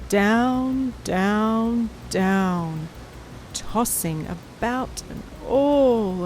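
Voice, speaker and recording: A woman reading a picture book aloud to young children as a story-time host, in a measured, expressive storytelling manner. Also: medium pitch, slight background noise, low-quality recording. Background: music behind the voice.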